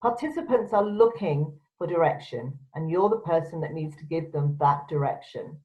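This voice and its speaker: A woman's voice: deep voice, expressive, moderate pace, a little mellow. A woman presenter giving instructional advice to camera in a firm, direct, emphatic way. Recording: dull, lo-fi sound.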